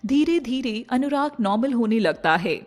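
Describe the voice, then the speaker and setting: High-voiced woman narrating a story. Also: lively intonation, normal speed, a little mellow, faint music behind.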